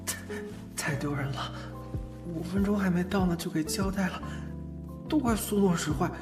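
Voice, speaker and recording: A man's voice: sits high, moderate pace, loud music behind the voice, natural voice. A man playing a shy, eager young boyfriend in a Chinese audio drama, given to flustered stammering. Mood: embarrassed.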